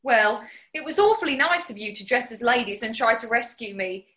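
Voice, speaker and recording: Actress as plucky housemaid, very high voice, animated swoops, genteel, frank, 1940s radio comedy. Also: female voice, a little fast. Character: plucky, polite, sharp-witted young housemaid.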